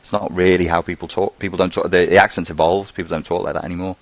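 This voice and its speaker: Very deep-voiced man with a light Scouse accent. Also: level tone, fast talker, dry recording, highs cut off. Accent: toned-down Scouse. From Merseyside, England. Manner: conversational and loose, thinking aloud. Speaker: host answering viewer questions. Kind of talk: live online Q&A stream.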